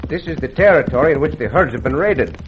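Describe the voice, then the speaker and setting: A man's voice: on the high side, animated, quick pace, natural voice. A man playing a gallant, daring Mexican cowboy hero with courtly, formal phrasing in an old radio western. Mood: confident. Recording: muffled, hissy old radio recording.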